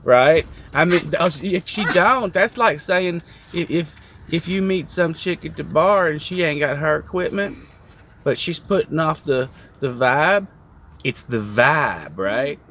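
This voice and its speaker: A man's voice: fairly high pitch, lively intonation, unhurried, a bit bright, a little hiss or hum. A man chatting casually as a trucking vlogger, rambling and joking as he tells stories from a truck cab. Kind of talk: casual chat.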